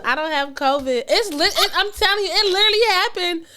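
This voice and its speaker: A woman co-hosting a podcast in casual chat, congested with a cold, animated and swearing freely. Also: very high-pitched, fast. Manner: animated, swears freely, restarts mid-sentence. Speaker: podcast co-host.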